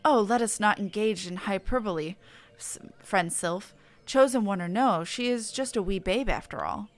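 A woman's voice: medium pitch, moderate pace, soft background music, lightly acted. A woman voicing a genteel, good-natured villager from a fantasy game, with formal, old-fashioned wording. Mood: gently skeptical.

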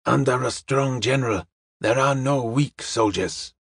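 A man's voice: medium pitch, measured pace, creaky, slightly rough, no room sound, studio quality. A man playing a courteous, wise Chinese detective in an audiobook, speaking formal, stilted English with dropped articles. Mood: calm, benevolent.

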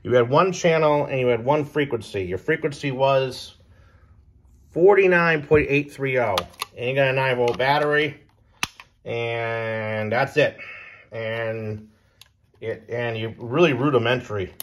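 A man giving a casual, unscripted explainer as a hobbyist presenter, halting, repeating himself and trailing off. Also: mid-range voice. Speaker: presenter of a radio hobby video.

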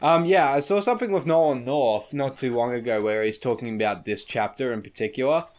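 A man commentating a game he plays, excitable and casual, his voice slightly croaky in the morning. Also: medium pitch, fairly quick. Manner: excitable, swears, shrieks at small things. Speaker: gaming YouTuber. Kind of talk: casual let's play commentary.

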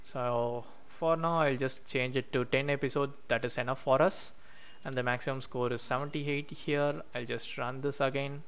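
Male tutorial host with a smooth, clear mid-pitched voice, narrating code at a desk with typing behind. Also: fairly even intonation, a bit bright. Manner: explains step by step while typing code, many "uh" pauses. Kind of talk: screen-recorded coding walkthrough. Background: keyboard typing.

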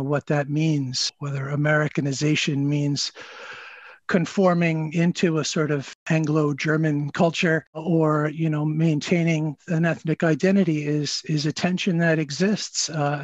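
A man speaking as a historian on a panel, explaining in a halting, thoughtful, scholarly way over a video call. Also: on the high side, dry recording. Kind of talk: unscripted panel discussion, explanatory. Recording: dull, lo-fi video-call audio.

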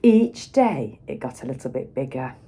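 A middle-aged woman reads a children's picture book aloud like a teacher, with dramatic emphasis and pauses for young listeners. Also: a bass voice, big pitch swings, warm tone, husky. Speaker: schoolteacher reading aloud.

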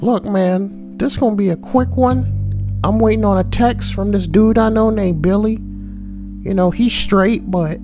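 A man's voice: high voice, unhurried, music in the background. A man voicing a brash, foul-mouthed schoolboy, reading posts for comedy and adding slangy, mocking asides. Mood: sly, casual. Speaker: host reading forum posts. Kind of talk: comedic reading with crude commentary.

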